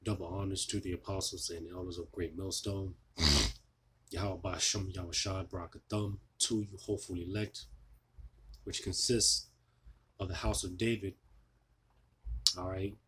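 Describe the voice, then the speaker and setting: A man losing his voice, giving an informal scripture sermon, halting with many fillers and restarts. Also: sits low, steady tone, a bit bright, a little hiss or hum. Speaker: preacher.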